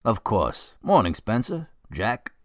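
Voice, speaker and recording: An actor playing a casual, matter-of-fact police officer in a radio drama. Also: male voice, on the low side, wildly swooping pitch, slow pace, fairly bright tone, dry recording, heavily acted.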